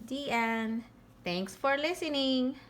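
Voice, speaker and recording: A woman reading a children's picture book aloud in an expressive, animated storytelling manner. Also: high voice, slow pace, a little hiss or hum. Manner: expressive, with animated character lines.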